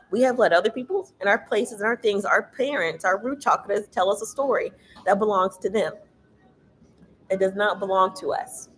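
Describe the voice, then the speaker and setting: A woman with a loud, carrying voice giving a casual tarot reading, emphatic and preachy, swearing freely. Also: mid-range voice, a little hiss or hum, poor audio. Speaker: tarot reader.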